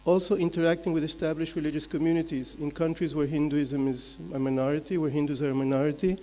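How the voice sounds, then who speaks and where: A man from Belgium, giving a measured, explanatory slide presentation as a conference speaker, point by point. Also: on the high side, little pitch movement, dark tone. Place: large conference hall. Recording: echoey hall with long reverb.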